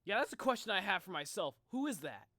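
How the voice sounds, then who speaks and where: A man streaming a casual reaction, thinking out loud in slangy talk and addressing his viewers directly. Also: high-pitched, sing-song, fast talker, dry recording, clean studio sound. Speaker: streamer, video host. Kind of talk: casual livestream reaction chat.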